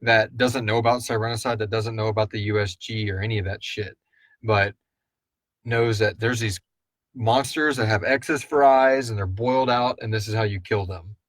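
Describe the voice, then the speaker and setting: Low-pitched guy host, close dry sound, thinking out loud, rambly casual chat. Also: fairly even intonation, normal speed, no room sound, low-quality recording. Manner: rambling, thinking aloud, mildly profane. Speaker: host of a live audio drama Q&A. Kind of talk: casual, speculative fan Q&A chat.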